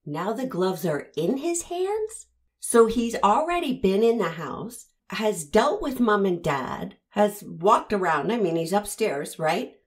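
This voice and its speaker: A woman's voice: mid-range voice, very animated, slow pace. A woman hosting a commentary, analyzing an interview and pressing the listener with pointed rhetorical questions. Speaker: host analyzing interview footage.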